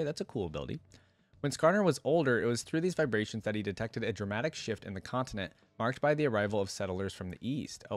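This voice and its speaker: A man's voice: mid-range voice, no echo. A young man hosting a reaction video, switching between casual commentary and reading aloud at a desk microphone. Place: indoor room at a desk. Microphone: desk microphone close up, with headset on.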